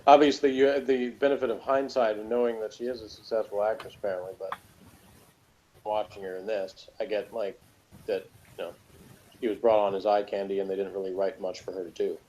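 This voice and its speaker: A man with a slightly low voice gives a casual review of a TV show. He talks in a rambling, halting way and circles back on his words. There is some noise in the recording. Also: fairly even intonation, mellow, highs cut off. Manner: rambling, halting, repeats himself. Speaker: podcast reviewer. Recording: noticeable background noise.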